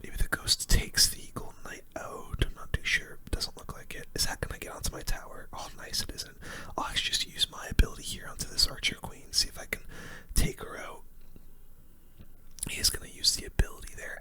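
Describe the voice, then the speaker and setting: A video game streamer giving casual, slangy gameplay commentary in a soft, unvoiced ASMR whisper.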